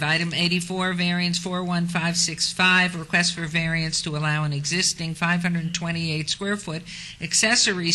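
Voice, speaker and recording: A woman serving as mayor, chairing a city council meeting at a desk microphone in council chambers, brisk and chatty. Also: on the low side, sharp tone, a little hiss or hum, poor audio. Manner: brisk, chatty, laughs easily.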